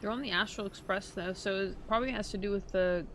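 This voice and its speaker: A woman's voice: mid-range voice, quick pace, fairly bright tone. A woman streaming a game, chatting casually in a slangy, offhand way. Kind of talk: casual live stream chat while gaming. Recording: clear background noise, clicking, game audio.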